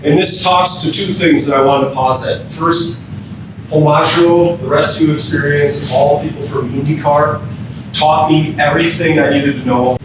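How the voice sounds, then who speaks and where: A man's voice: steady tone, moderate pace. Echoey, distant American man giving a lecture. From Minnesota, United States. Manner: informal, quizzing the audience with questions. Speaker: emergency physician, keynote speaker. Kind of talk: interactive training lecture to firefighters. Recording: very echoey room, distant mic, rumbling noise.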